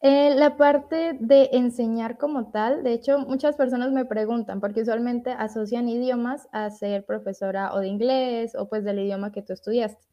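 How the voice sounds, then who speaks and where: A young Latin American woman, a translator, talks through her story in a relaxed, chatty way. Her voice sits a bit high, and laughter keeps slipping into it. The audio is muffled and lo-fi. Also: normal speed. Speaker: guest, translator. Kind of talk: casual podcast interview. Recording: dull lo-fi audio.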